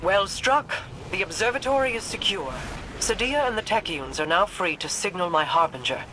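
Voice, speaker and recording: An actress playing a commanding queen in a video game, speaking in a regal, formal and measured way. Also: medium pitch, expressive, over loud music, lightly acted, low-quality recording. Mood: composed, commanding.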